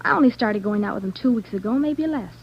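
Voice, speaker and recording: An actress playing a sullen, sharp-tongued nineteen-year-old girl suspect in 1940s radio style, indignant and snappy. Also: medium pitch, lively intonation, fast, background noise, lightly acted. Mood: defensive. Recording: dull 1940s radio recording.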